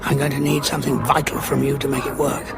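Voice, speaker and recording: A man's voice: mid-range voice, little pitch movement, quick pace, vocal fry, lightly acted. An actor playing a wily time-travelling adventurer in a sci-fi audio drama, bluffing his enemies with breezy, polite, slightly hesitant patter. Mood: conspiratorial. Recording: music bed behind voice.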